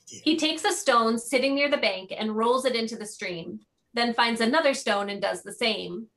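A woman's voice: fairly high pitch, normal speed, a bit bright, low-quality recording. A woman giving scripted narration, reading out the stage directions of a play.

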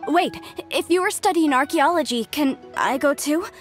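A woman's voice: high voice, expressive, normal speed, faint music behind. An actress playing an earnest young female archaeologist in a game, polite and eager.

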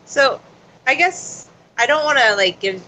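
A woman's voice: sits high, animated, a little slow, sharp tone, a little hiss or hum, poor audio. Young woman asking polite, hesitant questions. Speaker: interviewer. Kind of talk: casual interview on a video call. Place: room indoors.

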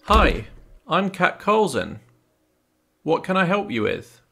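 A man playing a polite, helpful middle-aged church man in an adventure game, speaking in a plain, measured way. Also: a little high, wildly swooping pitch, vocal fry, bright, forceful tone, breathy edge, soft background music, heavily acted. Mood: matter-of-fact.

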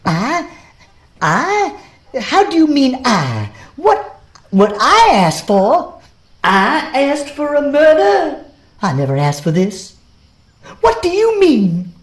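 Audio drama: horrified genteel lady played by a woman, tearful and halting, swooping melodrama, roomy sound. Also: sits high, huge pitch swings, full character voice. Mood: shocked, tearful. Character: distraught, horrified genteel lady, Miss Dawson.

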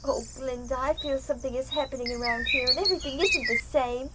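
A woman's voice: high voice, fast, heavy background noise, close to an everyday voice. A woman playing an innocent, anxious, sweet young girl in a family audio drama, earnest and full of worried exclamations. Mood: wondering.

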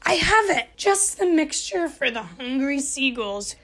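A woman voicing a cheerful, inventive older wife in a storybook reading, bright and resourceful. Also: high voice, big pitch swings, unhurried, heavily acted.

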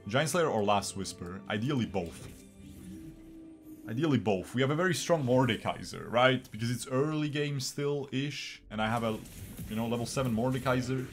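A young man from Bosnia, raised in Switzerland, chatting to his viewers as he streams a strategy game from a small room at his desk mic. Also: lowish voice. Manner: chatty, talks to his viewers, repeats himself. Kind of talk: live gameplay commentary.

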